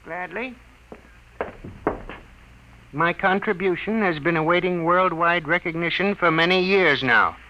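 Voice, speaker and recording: A man's voice: sits high, unhurried, pauses often, bright, forceful tone, a little hiss or hum, rough recording. A man acting a small, proud, resentful crackpot inventor in an old radio comedy, with pompous, formal, long-winded speech. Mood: proud, self-important.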